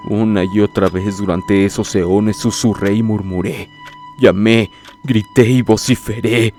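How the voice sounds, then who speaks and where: Low-voiced man narrating horror over music. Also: darkish tone. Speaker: narrator of a horror audio story. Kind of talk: dramatic first-person scripted narration. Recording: prominent music behind the voice.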